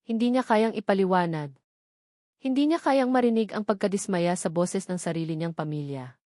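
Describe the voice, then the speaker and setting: A woman's voice: medium pitch, moderate pace, darkish tone, no room sound, clean studio sound. A woman with a Filipino accent reads a scripted love story as a dramatic narrator.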